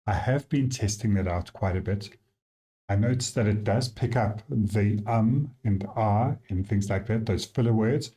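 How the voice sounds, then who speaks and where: A man with a South African accent, the app's developer, casually walking through his software and thinking aloud with frequent fillers. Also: fairly low pitch, measured pace. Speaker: app developer giving a demo.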